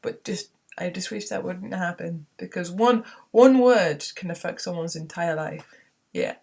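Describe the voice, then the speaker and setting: Young woman, hoarse with a cold, earnest rambling vlog rant, stumbling restarts, bedroom camera. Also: fairly low pitch, measured pace, a little mellow. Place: her bedroom.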